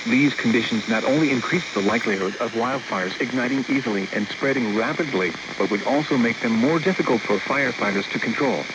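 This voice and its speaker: A man's voice: medium pitch, fairly quick, hardly any pauses. A computer-generated male voice reading a weather bulletin in a mechanical, evenly paced way, with clipped headings between items. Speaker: automated weather radio announcer. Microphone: weather radio receiver. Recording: dull radio broadcast with loud static.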